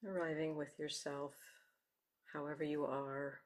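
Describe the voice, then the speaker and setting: A woman meditation teacher gently guiding a meditation, unhurried, with long pauses between short phrases. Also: low voice, flat delivery. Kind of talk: guided meditation. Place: meditation center.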